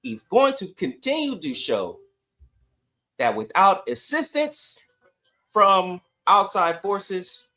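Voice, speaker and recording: High-pitched man debating sports. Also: sing-song, slow, pushed and bright. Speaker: sports podcast panelist.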